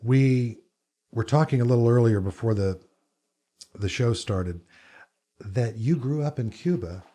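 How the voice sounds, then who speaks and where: A man's voice: mid-range voice, unhurried, mellow. A man hosting a podcast, reading a polished introduction and then asking easygoing questions. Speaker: podcast host. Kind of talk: scripted intro, then casual interview.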